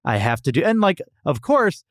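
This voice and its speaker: A man's voice: fairly high pitch, sing-song, normal speed, dry recording, studio quality. A man co-hosting a comic podcast, chatting casually in a joking, sarcastic way. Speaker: podcast co-host. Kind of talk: casual comic review chat.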